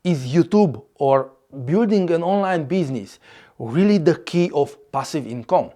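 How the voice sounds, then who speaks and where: Slow-speaking man with a Hungarian accent. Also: a little high, animated, dark tone. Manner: measured, slightly non-native phrasing. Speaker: YouTuber. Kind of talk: scripted vlog narration.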